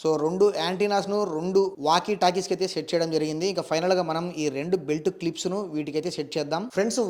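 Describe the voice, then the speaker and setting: A man's voice: on the high side, darkish tone. A man narrating an unboxing and review, explaining things step by step as the host.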